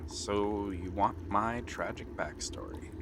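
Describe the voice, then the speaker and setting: Slow, hesitant young pirate prince played by a man. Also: lowish voice, steady tone, background noise. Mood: wistful, earnest. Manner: hesitant, earnest, with stammers. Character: nervous, bookish young pirate prince, dreamy and reluctant. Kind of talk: ASMR roleplay acting.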